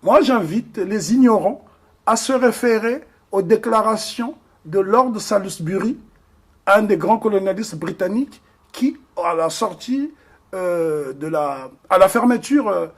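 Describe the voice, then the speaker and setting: A man from the Republic of the Congo, speaking as an interview guest in an earnest, emphatic way with frequent hesitations. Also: high-pitched. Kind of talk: interview discussion.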